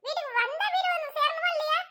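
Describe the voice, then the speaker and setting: Brief: scolding cartoon mother-in-law, very high and quick, voiced by a woman. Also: very noisy. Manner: nagging, calls out loudly. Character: scolding old mother-in-law, sharp-tongued. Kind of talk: cartoon voice acting.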